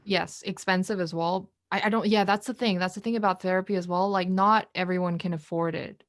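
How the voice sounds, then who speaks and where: A woman whose first language is Korean, hosting a casual chat over a video call and thinking aloud with many restarts and pauses. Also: on the low side, steady tone, dry recording. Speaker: podcast host. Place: indoors in Korea.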